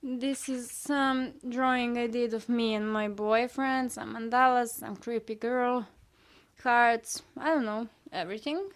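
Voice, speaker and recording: Young woman, Serbian accent, non-native English speaker; relaxed, halting talk with a slightly high voice. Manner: hesitant, many fillers, self-critical asides. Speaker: artist showing her sketchbook. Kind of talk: casual sketchbook tour chat.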